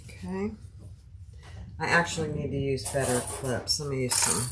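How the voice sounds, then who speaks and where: A woman's voice: rumbling low, normal speed, fairly bright tone. A woman with a soothing voice teaching a sewing tutorial on a live stream, thinking aloud as she works and chatting with viewers. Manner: talks to live viewers by name. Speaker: sewing instructor. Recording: audible background noise.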